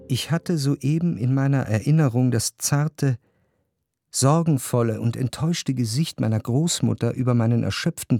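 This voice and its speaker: Faint music sits behind a male narrator of a literary radio play, his voice at a middling pitch. He is introspective and grieving, setting out each phrase with measured deliberation. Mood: moved, tender.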